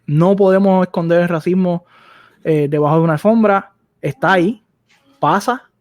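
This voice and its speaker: An earnest man with a Puerto Rican accent and a somewhat high voice, co-hosting a casual discussion. He repeats phrases to drive his opinions home. The sound is close and dry, with very little room. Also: moderate pace, darkish tone, no room sound. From Puerto Rico. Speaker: podcast co-host.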